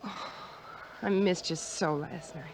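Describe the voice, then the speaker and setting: An actress playing a tender, adoring sweetheart with a soft, intimate delivery in an old-time radio drama. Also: female voice, on the low side, slow pace, slight background noise. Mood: tender, regretful.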